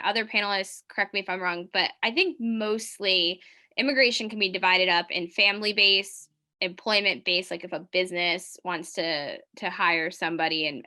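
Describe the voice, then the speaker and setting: A woman's voice: on the low side, bright, forceful tone. A young American woman, an immigration attorney, speaking earnestly and conversationally on a law school panel. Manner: earnest, conversational, with fillers and restarts. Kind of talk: panel discussion.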